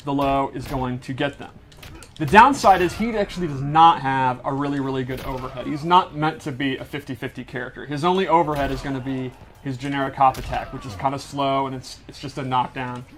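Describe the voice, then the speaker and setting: A man's voice: medium pitch, a little fast, fairly bright tone. A man presenting a casual gameplay breakdown, explaining fighting-game moves in an enthusiastic, technical way.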